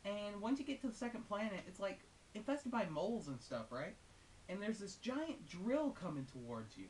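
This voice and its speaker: A man's voice: sits high, sing-song, normal speed. A man giving a casual, unscripted video game review in a rambling, hesitant but amused and enthusiastic way. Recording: hissy, noisy home recording.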